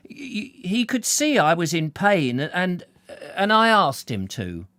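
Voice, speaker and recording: A man's voice: sits high, wildly swooping pitch, slow pace, a bit bright, no room sound, voice pushed up, full character voice. A man voicing a nervous, apologetic middle-aged man who stammers and repeats himself.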